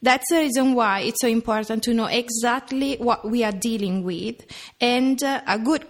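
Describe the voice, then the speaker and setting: A woman's voice: on the high side, normal speed. Italian-accented woman explaining carefully. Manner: careful, explanatory, with some restarts. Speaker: veterinary oncology clinician. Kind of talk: podcast interview, explaining.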